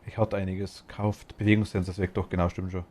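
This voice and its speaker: Mellow Bavarian man rambling casually. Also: sits low, little pitch movement, a little fast, a little hiss or hum. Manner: rambling, murmurs quietly to himself while shopping. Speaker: streamer. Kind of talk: casual gaming chat.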